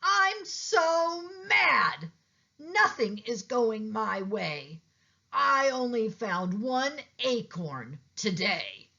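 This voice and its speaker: A woman voicing an excitable squirrel in a children's storybook, with drawn-out, exclamatory delivery. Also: a little high, creaky, highs cut off, pitched above the performer's own voice, full character voice. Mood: mad. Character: mad squirrel who turns delighted, high and loud.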